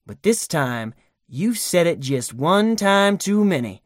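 A man voicing a rough, contemptuous thief with a pistol who snarls accusations in a storybook reading. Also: high-pitched, very animated, takes it slowly, no room sound, clean studio sound, voice pushed up, full character voice. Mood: menacing contempt.

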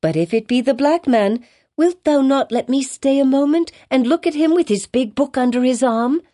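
A lively little girl played by a woman narrator, pitched high and full of curiosity. She exclaims and questions insistently, with a playful, pert air from an old storybook. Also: moderate pace. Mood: curious. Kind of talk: dramatized audiobook reading in archaic English.

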